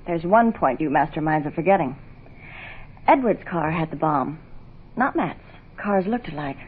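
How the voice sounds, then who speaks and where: A sharp-witted, anxious woman in a vintage radio mystery. Her voice is husky and swings widely in pitch, heard through a tinny recording. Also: lively intonation, normal speed. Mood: challenging. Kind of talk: 1960s radio drama acting.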